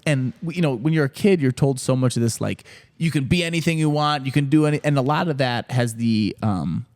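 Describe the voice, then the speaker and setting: A man co-hosting a podcast, chatting in a rambling, animated way. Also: mid-range voice, a little fast. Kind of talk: casual podcast chat.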